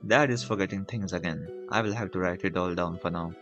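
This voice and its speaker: A male game streamer with a lowish voice and an Indian accent, a native Hindi speaker. He reads on-screen text aloud and comments casually. Faint game music plays behind him. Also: fairly quick, a bit bright, lo-fi sound.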